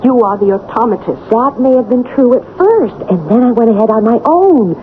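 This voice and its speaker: A woman's voice: fairly high pitch, expressive, moderate pace, natural voice. An actress playing an earnest, worried woman with genteel, dramatic delivery in a 1970s radio drama. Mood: intense, insistent. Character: earnest, worried woman recounting her friend's troubles with a spirit. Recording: tinny, hissy old radio broadcast.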